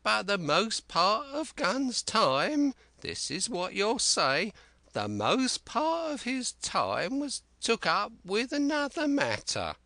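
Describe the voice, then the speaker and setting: A wild old castaway who rambles on eagerly in rustic dialect, his voice jumping high and swooping all over, as a male narrator puts him on. He talks slowly and brightly, close and dry. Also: wildly swooping pitch, no echo, exaggerated voice. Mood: confiding.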